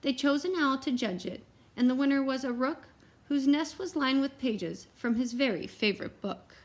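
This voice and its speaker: Lady doing a kids read aloud, voice a bit on the high side, expressive storytime delivery. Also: moderate pace. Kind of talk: reading a children's picture book aloud with asides to young listeners.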